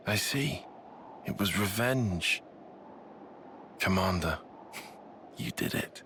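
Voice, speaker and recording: A man playing a serious, level-headed young soldier in a fantasy game, speaking in a measured, explanatory way. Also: fairly low pitch, lively intonation, vocal fry, pushed and bright, slight background noise, exaggerated voice. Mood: somber. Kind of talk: English game cutscene voice acting.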